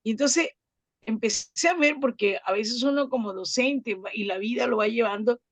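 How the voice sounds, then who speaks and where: A woman's voice: a little high, moderate pace, no echo. A woman taking part in a workshop, giving an informal comment over dull, lo-fi audio. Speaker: workshop participant, teacher.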